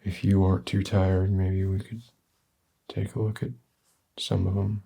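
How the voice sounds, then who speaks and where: Male performer as gruff, weary middle-aged survivor dad, drawl, very low and husky, soft ASMR roleplay delivery. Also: completely flat delivery, full character voice. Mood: tender, hesitant. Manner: slow, halting, gentle drawl.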